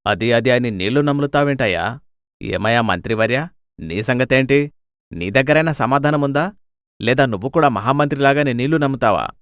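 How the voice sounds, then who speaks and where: A man's voice: mid-range voice, animated, a little fast, clear, resonant tone, dry recording, studio quality, close to an everyday voice. A man voicing a stern, exasperated king with a commanding, scornful manner in a dramatised folk tale. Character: stern, exasperated king scolding his ministers.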